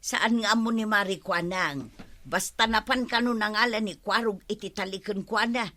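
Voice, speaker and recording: A woman's voice: medium pitch, normal speed, bright, forceful tone, lightly acted. A woman with an Ilocano accent acting as a village mother in a comedy drama. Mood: scolding. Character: worried village mother, grateful and respectful.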